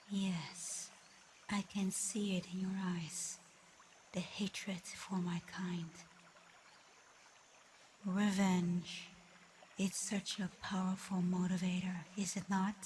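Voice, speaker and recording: A woman acts out a reclusive, haughty she-dragon for an ASMR roleplay. She talks slowly and deliberately in a flat, controlled line, mocking and menacing with a streak of curiosity. Some background noise sits under the voice. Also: fairly low pitch.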